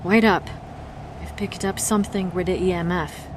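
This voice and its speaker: A woman's voice: fairly low pitch, steady tone, moderate pace, natural voice. A woman acting a focused, uneasy paranormal investigator in an audio drama. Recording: clear background noise.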